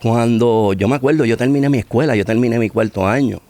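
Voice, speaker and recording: A man's voice: mid-range voice, quick pace, warm tone, no room sound. A middle-aged man with a Puerto Rican accent telling his personal testimony in conversation, repeating phrases for emphasis. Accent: Puerto Rican Spanish. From Puerto Rico. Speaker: interview guest giving testimony.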